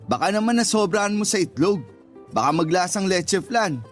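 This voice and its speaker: High-voiced teasing male suitor. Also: lively intonation, normal speed, soft background music. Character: teasing, naive male regular customer and suitor. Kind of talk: Tagalog radio drama acting.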